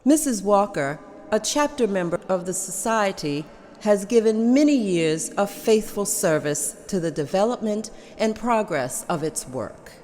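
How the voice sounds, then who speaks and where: A woman's voice: medium pitch, animated, unhurried, a little mellow, slight background noise, lightly acted. A woman reading a formal society speaker. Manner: formal, ceremonial address. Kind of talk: amateur table read acting.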